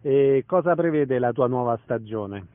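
A man's voice: mid-range voice, expressive, measured pace, no echo. A man hosting a conversational arts interview on the radio, putting questions to a guest. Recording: narrow, phone-like radio sound.

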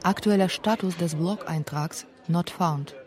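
A woman's voice: on the low side, measured pace, faint music behind. A woman narrating a scripted radio documentary feature.

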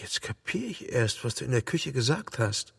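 An actor playing a brash, possessive husband in a radio drama, speaking bluntly and provocatively from slightly off the microphone. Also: male voice, mid-range voice, big pitch swings, moderate pace, gravelly, fairly bright tone, husky, distant mic, full character voice. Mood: needling.

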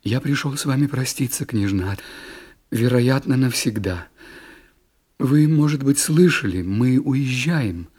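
A man voicing a lovesick teenage boy in a literary audiobook, fervent, halting and pleading. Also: mid-range voice, lively intonation, darkish tone, breathy edge. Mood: sorrowful farewell. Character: lovesick sixteen-year-old boy, fervent, wounded, devoted.

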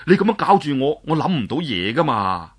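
A man voicing a weary, tough warrior who speaks low and plainly with a sigh in his voice. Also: sing-song, a little fast, slightly rough. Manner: low, sighing, plain-spoken. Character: weary tough warrior man, gruff but soft-hearted.

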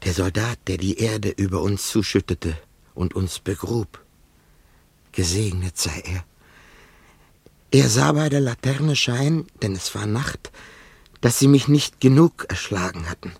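An actor playing a solemn, haunted scissors grinder who survived a massacre, in a poetic radio play with a halting, incantatory delivery. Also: male voice, fairly low pitch, creaky, breathy edge, dry recording, full character voice. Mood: grieving, reverent.

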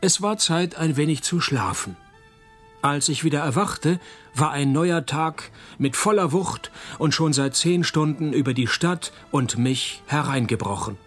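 An actor playing a wry, world-weary private detective in a radio crime comedy, deadpan and sardonic. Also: male voice, fairly high pitch, a little slow, soft background music. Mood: dry, wry. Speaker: detective and narrator. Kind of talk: radio crime comedy, first-person noir narration.

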